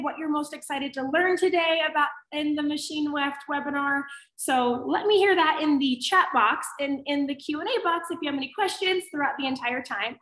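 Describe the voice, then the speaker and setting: A woman from Pittsburgh hosting a live teaching webinar, her voice hoarse and raspy from allergies, talking in an upbeat, chatty way. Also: high voice, a bit bright, roomy sound, low-quality recording. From Pittsburgh, USA. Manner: upbeat, chatty, often says "awesome". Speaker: webinar host and educator.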